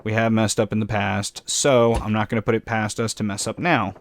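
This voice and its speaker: A man casually commenting while building in a game, with a slightly raspy voice, rambling and poking fun at himself. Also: lowish voice, level tone, fairly quick, no echo. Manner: rambling, self-mocking, chuckles often. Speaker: gameplay commentator.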